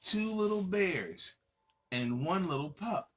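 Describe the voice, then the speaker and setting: A man's voice: high voice, animated, slow pace. A man reading a children's storybook aloud as host, in a playful, dramatic manner, speaking to young listeners.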